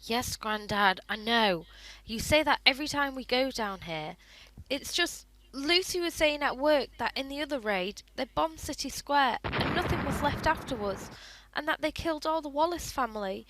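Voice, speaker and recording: A woman's voice: high-pitched, expressive, normal speed, a bit bright, low-quality recording. A woman in a radio play acting an anxious, earnest fifteen-year-old girl who speaks in a protesting way. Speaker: amateur radio play actor.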